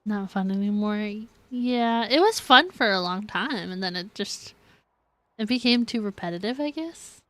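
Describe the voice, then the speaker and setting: A woman's voice: medium pitch, expressive, moderate pace, fairly bright tone, no echo. A woman game streamer giving casual, giggly commentary on a livestream.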